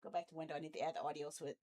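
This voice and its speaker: A woman's voice: low-pitched, racing, mellow. Woman streamer just chatting to viewers, kinda playful, mutters to herself while fixing stuff. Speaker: live streamer host. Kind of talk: casual livestream chat while setting up a game.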